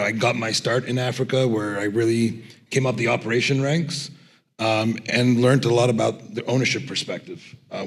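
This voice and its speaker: Lower-voiced man from Tanzania, measured. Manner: measured, with frequent ums. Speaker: panel guest, revenue executive. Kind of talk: self-introduction on a panel. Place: conference stage.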